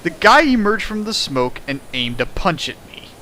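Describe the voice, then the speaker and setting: Young guy reading fanfic out loud and making fun of it, bright voice, bounces all over the place. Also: moderate pace. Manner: animated, jumps in pitch for jokes and sound effects. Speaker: host reading a fan fiction aloud. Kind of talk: comedic dramatic reading with riffing.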